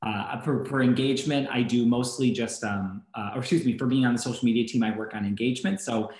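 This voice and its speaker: A man's voice: medium pitch, fairly even intonation, quick pace, a little mellow. A man co-hosting an online meetup introduces himself, full of fillers and self-corrections. His voice carries a noticeable room echo over the video call. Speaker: social media team member co-hosting.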